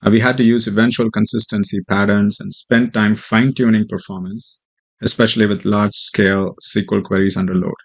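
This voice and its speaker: Male tech presenter, lowish voice, explanatory, hesitant delivery with plenty of filler. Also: level tone, brisk, creaky, fairly bright tone. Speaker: software developer presenting a demo. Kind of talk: tech tutorial walkthrough and mock interview answers.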